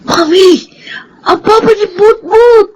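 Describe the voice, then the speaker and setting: A woman's voice: extremely high pitch, slow, darkish tone, low-quality recording. A woman with a Filipino accent playing an innocent, curious young schoolgirl in a radio drama. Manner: childlike, polite, questioning. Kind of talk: Philippine radio drama acting.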